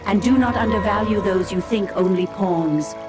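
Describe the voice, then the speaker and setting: A woman voicing an aloof, enigmatic dragon of dreams in a fantasy game cutscene, measured and solemn, with music behind. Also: medium pitch, lightly acted. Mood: solemn, pitying.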